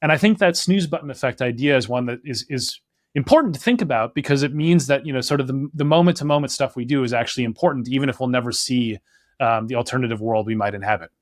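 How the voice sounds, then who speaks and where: Guest author, young man from Minnesota, quick and chatty, dry close sound. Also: medium pitch, no room sound. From Minnesota, United States. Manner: fast, animated, full of "like" and "right?". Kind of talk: conversational interview answers.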